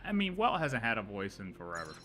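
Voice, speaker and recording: A man's voice: lowish voice, huge pitch swings, quick pace, sharp tone, soft background music. A young man casually reacting to a game on a live stream, rambling through his theories.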